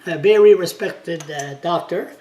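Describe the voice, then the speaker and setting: A man's voice: on the high side, a little slow, dark tone, echoey room. A man with a French Canadian accent whose first language is French, hosting an interview in a chatty, informal way. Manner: chatty, informal, plain phrasing. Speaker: blogger host.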